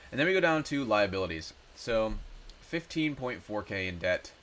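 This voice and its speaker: A young man in his twenties with a low voice, made raspy by a cold, that still sounds bright and forward. He talks through his numbers in a loose, chatty way, full of ums and small corrections. A faint hiss sits under the recording. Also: normal speed. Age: 20s. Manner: chatty, relaxed, with frequent ums and self-corrections. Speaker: personal finance YouTuber. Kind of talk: casual screen-share walkthrough.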